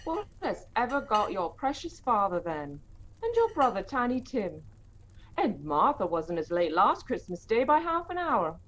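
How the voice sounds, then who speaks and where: A woman's voice: medium pitch, big pitch swings, measured pace, fairly bright tone, slight background noise, low-quality recording. An actress playing a fond, worried working-class Victorian mother in an audio play, homely and bustling in manner. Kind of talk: audio play acting, old radio drama style.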